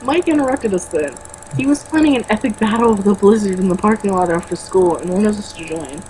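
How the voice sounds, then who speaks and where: A woman's voice: medium pitch, brisk, a little mellow, a little hiss or hum, poor audio. A woman reading a novel aloud as an amateur, tripping over words now and then and breaking off for blunt, mocking, casual commentary. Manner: stumbles over words when reading, blunt and sweary in asides.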